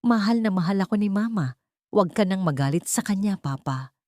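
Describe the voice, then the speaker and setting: A woman's voice: medium pitch, expressive, a little fast, a little mellow, no echo, clean studio sound, natural voice. Woman voicing an innocent little daughter. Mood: innocent, earnest. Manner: innocent, earnest. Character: innocent, loving little daughter, recovering from illness.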